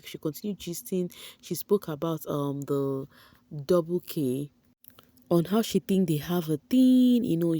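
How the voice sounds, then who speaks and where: A young woman chats straight to her viewers in a breezy, gossipy recap. Her voice sits low and mellow. The sound is close and dry, with no room around it. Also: no room sound. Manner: breezy, gossipy, with slangy asides and "you know" fillers. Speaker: entertainment vlogger. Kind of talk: chatty gossip recap to camera.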